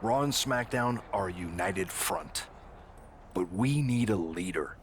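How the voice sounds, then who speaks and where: An actor playing an earnest, decisive wrestling show boss in a video game, measured and businesslike. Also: male voice, low voice, lively intonation, a little hiss or hum. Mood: resolute, rallying. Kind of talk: scripted video game cut-scene dialogue.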